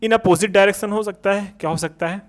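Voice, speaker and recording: A man's voice: high-pitched, steady tone, moderate pace. A man lecturing as an exam coaching teacher, repeating points as rhetorical questions and mixing in English technical terms. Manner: switches between Hindi and English technical terms.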